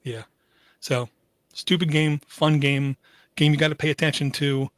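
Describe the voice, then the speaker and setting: A man with a fairly high voice co-hosting a podcast. He chats casually with a wry, sarcastic streak. The sound is close and dry, with no room echo. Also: fairly even intonation, a little slow, fairly bright tone. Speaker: podcast co-host. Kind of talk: casual chat.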